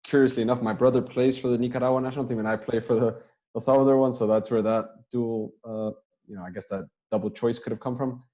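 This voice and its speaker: A young man, a soccer player, answering interview questions over a video call in a relaxed, rambling way, often repeating words as he talks. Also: mid-range voice, quick pace. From United States.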